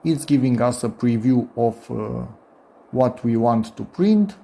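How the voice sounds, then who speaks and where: A man's voice: medium pitch, lively intonation, a little slow, gravelly, warm tone. A man talking informally and a little hesitantly through a hands-on DIY demonstration, thinking aloud as he goes. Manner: informal, hesitant, self-narrating. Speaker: host of a DIY electronics channel.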